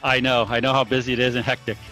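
A man's voice: mid-range voice, little pitch movement, rapid-fire, bright, forceful tone, faint music behind, poor audio. A man in his forties from California, hosting a podcast and explaining things in a casual, conversational way. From California, United States. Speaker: podcast host.

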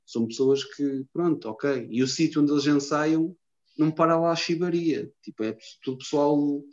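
Um homem de Lisboa, com sotaque lisboeta, numa conversa descontraída, cheia de calão e palavrões e a divagar. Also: male voice, a little high, moderate pace, darkish tone. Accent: Lisbon Portuguese. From Lisbon, Portugal. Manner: slangy, swears a lot, rambling. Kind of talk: casual chat. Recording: dull lo-fi sound.

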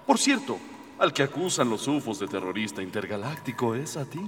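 A man's voice: medium pitch, big pitch swings, brisk, in a large hall, close to an everyday voice. A man playing a gallant, idealistic young alien rebel prince in a comic radio drama, earnest and formal. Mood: explaining, firm.

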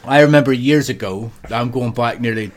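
A man's voice: medium pitch, fairly quick. A man, chatting casually as a co-host, joking and swearing as he talks. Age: 30s. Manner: banters, swears, laughs often. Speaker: podcast co-host. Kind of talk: casual chat.

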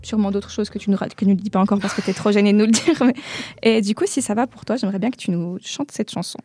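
A woman's voice: medium pitch, fast, warm tone, soft background music. A woman interviewing a guest on the radio, chatty, enthusiastic and teasing. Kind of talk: casual interview.

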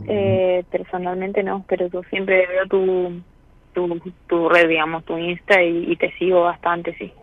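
A woman's voice: lowish voice, completely flat delivery, a little slow, no echo. An Argentine woman in her thirties on a call, talking casually and hesitantly with many filler words. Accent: Argentine Spanish. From Misiones, Argentina. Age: 30s. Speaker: caller. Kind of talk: casual personal chat. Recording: dull, band-limited call audio.

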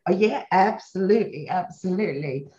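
A woman's voice: fairly low pitch, animated, normal speed, mellow. Fifties businesswoman from Hong Kong, interviewee, thoughtful and earnest; slightly dull recording. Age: 50s. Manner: earnest, reflective, speaks in long run-on thoughts. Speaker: company CEO, interview guest. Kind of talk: conversational interview answers.

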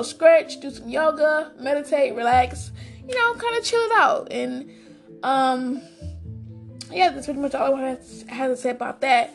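A woman with a raspy, hoarse voice hosting a casual solo podcast, chatty and enthusiastic, often calling her listeners "guys". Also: high-pitched. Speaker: podcast host.

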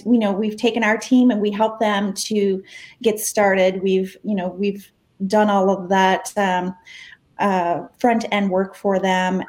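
Hesitant woman sharing an example. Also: medium pitch. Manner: hesitant, many fillers, steady. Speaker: company team member. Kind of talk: conversational business interview.

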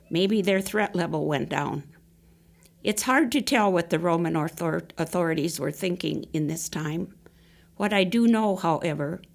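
Low, creaky-voiced woman reading. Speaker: devotional reader. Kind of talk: scripted scripture and reflection reading.

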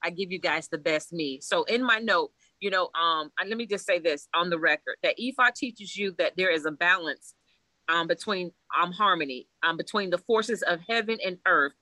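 Woman, from Haiti, priestess, casual chat, animated, laughs a lot, crisp bright voice. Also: on the low side, normal speed, no room sound, rough recording. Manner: animated and blunt, laughs often, swears freely. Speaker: Ifa priestess guest. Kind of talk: casual podcast conversation.

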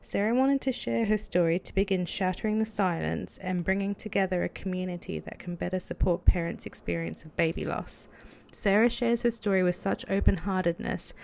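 A woman hosting a podcast, reading a gentle scripted introduction and then asking friendly questions. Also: mid-range voice, vocal fry. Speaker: podcast host.

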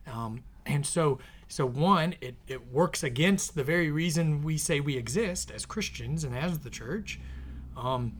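A man hosting his podcast in casual conversation, his voice a little scratchy, talking in an earnest, reflective way that often trails off softly. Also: on the high side, lively intonation, unhurried. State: slightly scratchy voice. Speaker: podcast host and author. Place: in the same room as co-host.

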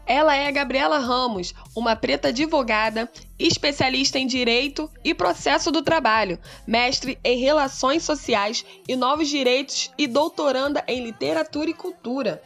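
High-voiced woman reading a podcast intro. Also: normal speed, fairly bright tone, faint music behind. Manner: clear, formal reading. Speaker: podcast co-host.

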